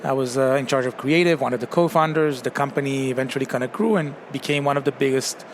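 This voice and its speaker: Guest CEO, male, forties, Israeli accent, relaxed and filler-heavy; light trade-show noise behind. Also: mid-range voice, fast. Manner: casual storytelling, many fillers like "kinda" and "um". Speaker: retail company CEO, guest. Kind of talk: conversational interview. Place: busy trade show floor, open-roof podcast booth. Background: crowd chatter and noise.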